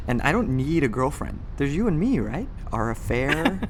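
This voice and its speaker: A wry young man played by an actor banters flippantly in a radio drama. His pitch moves around in a lively way, and loud noise surrounds the casual delivery. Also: moderate pace, lightly acted. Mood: teasing. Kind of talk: naturalistic radio drama dialogue.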